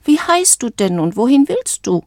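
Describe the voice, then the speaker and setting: A woman acts out a young boy frog from a storybook, convinced he is royalty. The voice is squawky and pitched well up, with an insistent, self-important swagger. The sound is close and dry. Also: sing-song, measured pace, no echo, heavily acted. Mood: mild, instructive. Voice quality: high, squawky. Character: boastful, stubborn young male frog convinced he is a prince.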